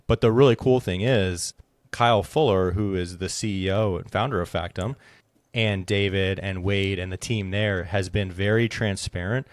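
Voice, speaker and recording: A man with a low voice hosts a podcast interview. He speaks conversationally, and the sound is dry and close, with very little room in it. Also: steady tone, normal speed, dry recording. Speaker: podcast host and insurance agent.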